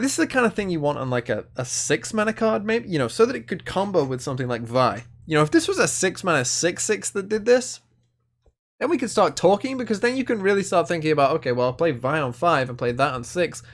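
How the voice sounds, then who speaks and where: Young man, casual card review, chatty, hedging, fairly high voice, very lively pitch. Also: quick pace. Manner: chatty, hedging, thinking aloud with many fillers. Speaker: card game video host.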